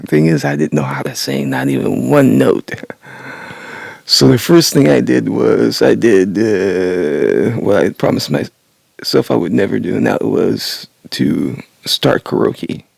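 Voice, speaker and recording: A man in his sixties talking about learning to sing in his retirement, raspy and a little congested, halting with many pauses and small laughs. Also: fairly low pitch, a little mellow, dry recording. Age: 60s. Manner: halting, many uhs and restarts, laughs to himself. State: nasal congestion. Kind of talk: casual rambling monologue. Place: home, early morning.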